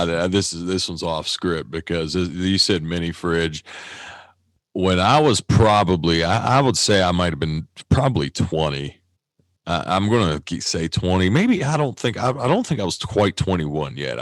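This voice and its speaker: A man's voice: low voice, expressive, normal speed, no echo. A man in his thirties co-hosting a podcast, chatting casually in a profane, rambling, self-mocking way. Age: 30s. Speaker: podcast co-host. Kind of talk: casual chat.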